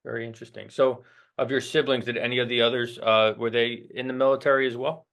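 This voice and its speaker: A man's voice: mid-range voice, flat delivery, fast, a bit bright. A man hosting a casual interview with his guitar student, speaking loosely with filler words. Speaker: host, guitar teacher.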